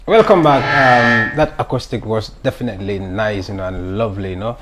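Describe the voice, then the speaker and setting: A man hosting a casual talk show interview, lively and playful, laughing often. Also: fairly low pitch, a little fast, very noisy.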